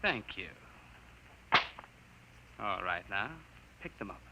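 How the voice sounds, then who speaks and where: An actor playing an arrogant, bullying imperial lieutenant in a 1950s radio drama, barking orders with contempt. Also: male voice, lowish voice, very animated, takes it slowly, full character voice. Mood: contemptuous. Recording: noisy old radio recording with clicks.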